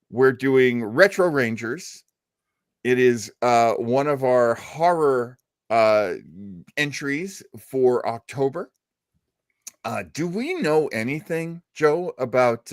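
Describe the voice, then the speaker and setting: A man hosting a live show in a casual, halting, self-deprecating way. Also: medium pitch, animated, dry recording, studio quality. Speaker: radio stream host.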